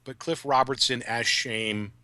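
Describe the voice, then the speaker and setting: A man talks casually as a podcast guest, with a crisp, bright edge to his voice. He is articulate and enthusiastic, like a writer discussing his work. The sound is very dry, with no room around it. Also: mid-range voice, steady tone, measured pace, dry recording. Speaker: guest, writer of audio dramas.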